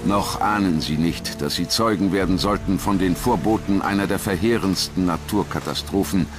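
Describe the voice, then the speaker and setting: A man narrating a documentary from a script in a grave, measured, dramatic storytelling manner. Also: sits low. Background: background music.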